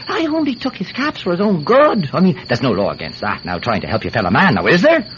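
A man's voice: on the high side, wildly swooping pitch, fast, a bit bright. An actor playing a talkative, wily Irish villager who stammers deferentially as he pleads his innocence in a radio drama. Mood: pleading. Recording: muffled, slightly noisy old-style radio recording.

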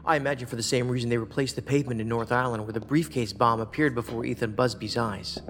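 A man's voice: mid-range voice, fairly even intonation, fast, fairly bright tone, close to an everyday voice. A man playing a sardonic, wordy, philosophical federal investigator in a science fiction audio drama. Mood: dry, musing. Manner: wry, wordy, lecturing. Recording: long hall reverb, music underneath.

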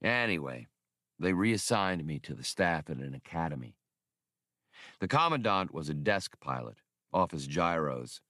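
Man reading as a wry, low-voiced veteran officer. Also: sing-song, unhurried, sharp tone, no room sound, clean studio sound, full character voice. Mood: wry, reminiscing. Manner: wry, mild storytelling. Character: seasoned, easygoing male ex-officer telling old war stories.

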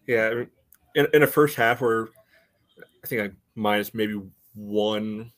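Male podcast co-host, lower register, halting casual game analysis padded with "you know", very dry recording. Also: a bit bright, dry recording. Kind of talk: casual sports discussion.

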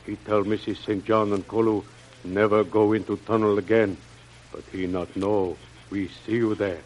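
A man's voice: on the low side, level tone, normal speed, natural voice. A man playing a humble, loyal native jungle servant in an old radio serial, speaking broken pidgin English and naming himself in the third person. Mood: dutiful, earnest. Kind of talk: old-time radio adventure serial acting. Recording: noisy old radio drama recording.

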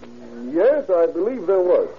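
A man's voice: a little high, sing-song, measured pace, slight background noise. An actor playing a plain-spoken, matter-of-fact yarn salesman on the witness stand in an old radio drama. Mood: plain, earnest. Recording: tinny, narrow-band old radio recording.

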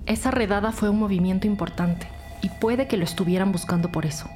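A woman's voice: medium pitch, a little fast, darkish tone, close to an everyday voice. Woman playing a firm detective, music behind. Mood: concerned. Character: firm, no-nonsense female police detective Marino. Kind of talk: scripted crime audio drama dialogue. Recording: music bed under the voice. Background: dramatic music.

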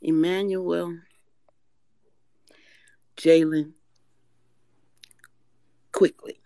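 A hoarse woman calling in to a live fan audio room, talking loud and emphatic, with some swearing. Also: lowish voice, level tone, drawn-out words, no echo. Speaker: caller. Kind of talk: casual fan chat.

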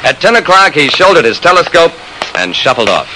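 A man's voice: on the high side, big pitch swings, a little fast. An actor playing a shrewd, persistent private investigator narrating his case in an old-time radio drama, with clipped, hard-boiled delivery. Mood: measured, matter-of-fact. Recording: noisy vintage radio recording.

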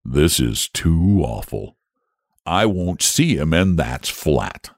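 A man voicing a lazy, gentle and cowardly male dragon who speaks in a languid, solemn drawl in a storybook. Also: extremely low pitch, sing-song, slow pace, no echo, clean studio sound, voice pushed down, full character voice. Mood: dismayed.

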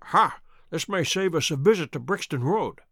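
A man voicing a shrewd, self-assured gentleman detective in an audiobook, courteous and precise in manner. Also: a little high, animated, normal speed, breathy edge, no echo, studio quality, full character voice. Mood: quietly keen. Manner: bland, courteous, confident, precise.

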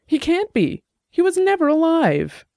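A narrator voicing a nervous, defensive man whose voice rises high and shaky, near tears. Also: sing-song, moderate pace, mellow, dry recording, clean studio sound. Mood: defensive, shrill.

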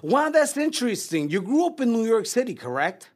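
A man's voice: very high voice, big pitch swings, fairly quick, darkish tone. A man hosting a casual podcast interview, wordy and often repeating phrases as he talks. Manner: wordy, repeats phrases while forming questions. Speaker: podcast host.

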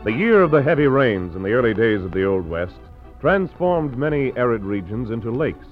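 A man's voice: mid-range voice, big pitch swings, moderate pace, faint music behind, close to an everyday voice. A man narrating a western adventure as the announcer of an old-time radio show. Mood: dramatic. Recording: dull vintage radio recording.

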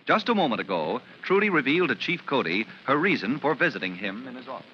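A man's voice: mid-range voice, very animated, fast talker, lo-fi sound. A man announcing a vintage 1950s radio drama, narrating from a script in a dramatic, declamatory manner. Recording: noisy vintage radio recording.

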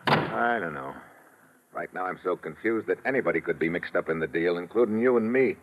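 An actor playing a blunt, hard-boiled American investigator and narrator in an old-time radio drama, talking in clipped slang. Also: male voice, sits low, lively intonation, fairly quick. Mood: wary, puzzled. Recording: muffled, noisy vintage radio recording.

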